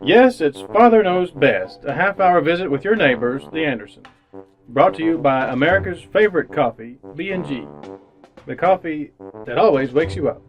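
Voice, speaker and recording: A man plays a classic radio announcer with a slightly high male voice. He narrates in a polished, formal, showman-like way, with music faintly behind him. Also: lively intonation, normal speed, muffled recording, close to an everyday voice. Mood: promotional.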